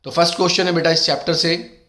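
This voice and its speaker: A middle-aged male teacher with a sore throat, running an exam-prep lesson and calling his students beta while reading questions out slowly. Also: a little high, fairly even intonation, pushed and bright. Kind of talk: exam-prep lesson in Hindi mixed with English.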